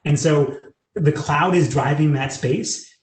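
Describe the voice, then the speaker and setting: A man's voice: on the high side, slow pace, echoey room. A man with an American accent, answering interview questions in an earnest, fluent way with frequent "you know" fillers. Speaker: interviewee, tech company public sector lead. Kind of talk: conversational panel interview.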